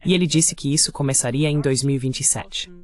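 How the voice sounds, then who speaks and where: A man's voice: mid-range voice, brisk. Man giving voiced-over political commentary. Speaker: translated interview guest.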